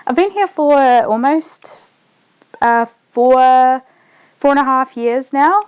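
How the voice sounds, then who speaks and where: A young woman with a New Zealand accent tinged slightly Australian, chatting loosely as a streamer while she plays, trailing off quietly as she concentrates. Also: sits high, animated, takes it slowly, smooth, rough recording. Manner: rambling, trails off softly when focused on the game. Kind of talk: casual gaming livestream chat.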